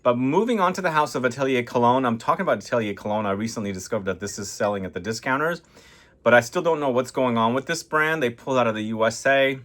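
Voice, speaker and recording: A man's voice: mid-range voice, fast, fairly bright tone. A man from Lebanon hosting a casual fragrance review, talking conversationally to viewers and putting questions to them. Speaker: fragrance reviewer, video host. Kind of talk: casual video review to camera.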